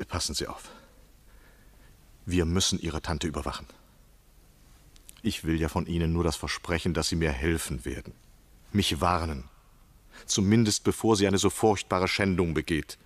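An actor playing an obsessive, uneasy gentleman in a radio play, measured and confiding, with an urgent edge. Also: low voice, natural voice. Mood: urgent, persuading. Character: obsessive, uneasy American gentleman recounting his misdeed. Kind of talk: radio play acting, storytelling and dialogue.

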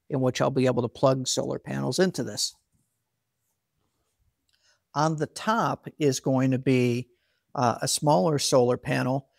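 A man's voice: mid-range voice, gravelly, dry recording, studio quality. A man in his forties hosting a solar equipment channel, explaining his setup in a plainspoken, practical way from an indoor garage workshop. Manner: plainspoken, practical, with frequent fillers and restarts. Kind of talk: casual explainer, talking to camera.

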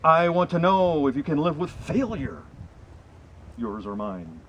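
A middle-aged man reciting poetry to a small group outdoors, with earnest, deliberate and emphatic phrasing. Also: on the high side, very animated. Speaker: poem reader, presenter. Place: outdoors in a wooded area. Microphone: camera mic a few meters away. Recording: slightly muffled outdoor camera audio, faint ambient noise.

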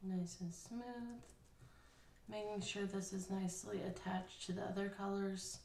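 Woman, craft tutorial, chatty and relaxed, gravelly edge, roomy sound from a bit away. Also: medium pitch, a little slow, slight background noise. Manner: relaxed, chatty, thinking aloud with fillers. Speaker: craft instructor. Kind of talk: casual hands-on craft tutorial. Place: indoor craft table. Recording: roomy, slightly distant.

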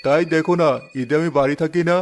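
A man's voice: fairly high pitch, steady tone, fast talker, lightly acted. A man voicing a friendly, knowing village neighbour in a cartoon, chatty and persuasive. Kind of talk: cartoon dialogue dub. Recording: music under the voice.

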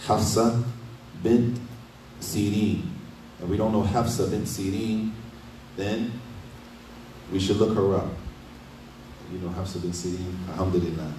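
A middle-aged man talks through a religious lesson in a relaxed, conversational way, dropping rhetorical questions as he goes. His voice is low and mellow. The sound is echoey and distant, as if picked up by a desk mic set back in a small room. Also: a little slow, pauses often, a little hiss or hum. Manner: conversational, asks rhetorical questions with frequent "right?". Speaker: imam giving a lesson. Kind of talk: casual Islamic lecture. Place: small indoor study room. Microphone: desk mic on a stand.

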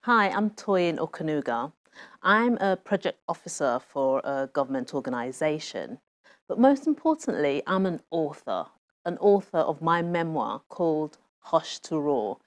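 A middle-aged woman, an author reading aloud from her own memoir in a measured, expressive way. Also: deep voice, no echo. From Nigeria. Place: small TV studio with bookshelves.

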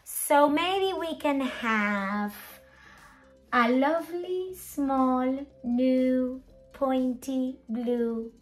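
A middle-aged woman presenting an English grammar lesson as a teacher, expressive and emphatic, with deliberate enunciation and rhetorical questions. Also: sits high, soft background music. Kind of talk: animated scripted grammar lesson. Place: small indoor room at a whiteboard.

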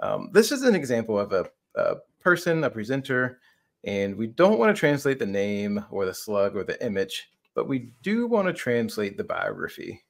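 A man's voice: lowish voice, sing-song, normal speed, gravelly. A man in his thirties explaining a product on a video call as a conversational co-presenter. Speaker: software demo co-presenter.

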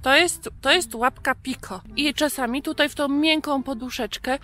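A woman in her thirties presents a children's show. Her voice is high, and she explains things in simple, friendly language for little ones. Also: moderate pace, pushed and bright, soft background music.

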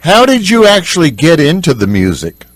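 A man hosting a radio interview, asking measured, scripted questions. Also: on the high side, wildly swooping pitch, dry recording. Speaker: radio DJ host.

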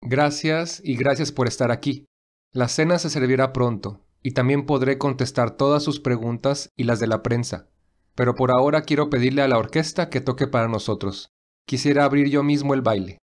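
Man acting commanding young patriarch, medium pitch, gallant, firm, audio story dialogue. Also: moderate pace, lightly acted. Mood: composed, gallant. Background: classical music.

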